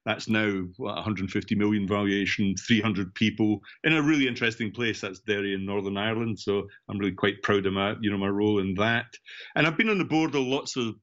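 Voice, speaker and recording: Older Scottish male podcast guest, discursive conversational delivery, fairly low voice, somewhat dull recording. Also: fairly quick, a bit bright. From central Scotland. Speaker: podcast guest, education technology executive. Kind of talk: conversational interview answers, recounting career.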